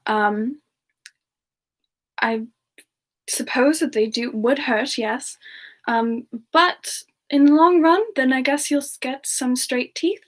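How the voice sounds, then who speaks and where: A gentle young siren demon, played by a woman chats with livestream viewers in a semi-ASMR style. Her voice is fairly high and very unhurried. She is soft-spoken, polite and a little hesitant. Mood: thoughtful, gentle. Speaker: livestream host in character. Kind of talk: semi-ASMR in-character live Q&A chat.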